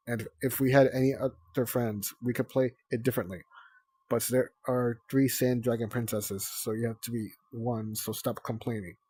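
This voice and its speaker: A man's voice: mid-range voice, steady tone, moderate pace, darkish tone, husky, close to an everyday voice, low-quality recording. A man voicing a bossy eldest young female dragon, firm and exasperated.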